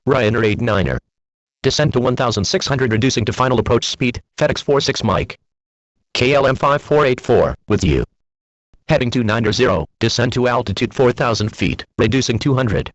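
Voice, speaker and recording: Guy on atc radio, clipped callsigns and numbers, lively pitch, dry muffled sound. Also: moderate pace, no echo. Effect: radio transmission. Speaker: pilot or controller on air traffic radio. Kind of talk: clipped aviation radio phraseology. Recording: dull, band-limited air traffic radio.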